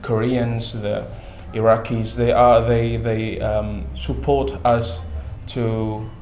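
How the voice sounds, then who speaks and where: Guy chatting as a guest, halting english, repeats himself a lot, roomy echo. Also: mid-range voice, level tone, a little mellow, faint music behind. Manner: halting, repeats words, many fillers. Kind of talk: casual interview chat. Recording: echoey room.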